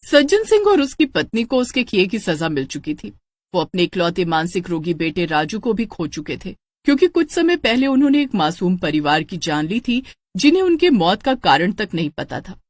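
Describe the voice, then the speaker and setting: A woman narrates a scripted cartoon story. Her pitch is lively and varied, and the recording is close and dry. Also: normal speed, no room sound.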